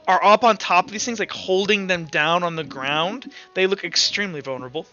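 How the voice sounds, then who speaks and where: A man's voice: high-pitched, brisk, sharp tone. A man casually game mastering an online tabletop roleplay session, informally talking through rulings aloud. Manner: informal, talks through rulings aloud, acts out sound effects. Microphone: online voice chat microphone. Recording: dull, lo-fi voice chat with music behind.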